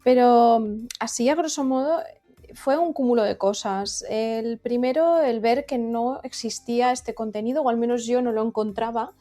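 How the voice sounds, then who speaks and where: A woman from the Valencia region, speaking as a podcast guest. Her voice is mellow and dark, and she explains her ideas thoughtfully, with faint music in the background. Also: fairly high pitch, moderate pace. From Valencia region, Spain. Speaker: guest, content creator. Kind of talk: conversational interview.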